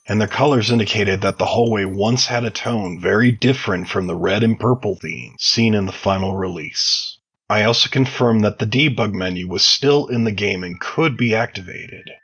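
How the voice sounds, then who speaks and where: A man giving measured, articulate, explanatory answers as an interview guest, sounding prepared and read out. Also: fairly low pitch, a bit bright, husky. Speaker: interview guest, game modder.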